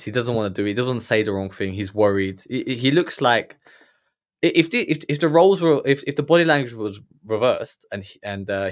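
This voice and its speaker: Male host, 30s, lowish voice; blunt heated reaction commentary, stammering restarts, close studio mic. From Germany. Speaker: podcast host reacting to a documentary. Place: small room with purple lighting. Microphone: studio mic on boom, earphones.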